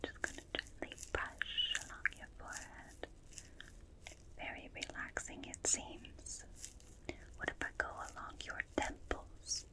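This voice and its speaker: A woman playing a gentle, curious alien in an ASMR roleplay, speaking in soft breathy whispers with soothing, clinical, repetitive phrasing close to the microphone. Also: lightly acted, rough recording. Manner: slow, soothing, repetitive, clipped detached phrasing. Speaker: ASMR performer. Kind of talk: ASMR roleplay, personal attention. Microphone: close binaural microphone.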